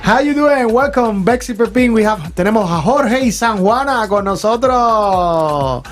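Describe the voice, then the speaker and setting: High-voiced hyped bilingual radio host. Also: male voice, animated, moderate pace, over music. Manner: upbeat, hyped announcer switching between English and Spanish. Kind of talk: bilingual morning radio show. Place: radio studio.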